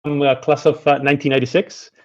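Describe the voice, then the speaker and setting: A man's voice: on the high side, little pitch movement, quick pace. A man, introducing himself casually over a lo-fi video call. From Spain. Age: 40s. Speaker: alumnus participant.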